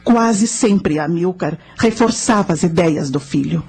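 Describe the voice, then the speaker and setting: An actress playing a knowing spirit guide, telling ancient history and teaching in a measured, solemn way in a radio drama. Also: female voice, lowish voice, gravelly, darkish tone, slightly rough, heavily acted. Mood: instructive. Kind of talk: scripted radio drama narration.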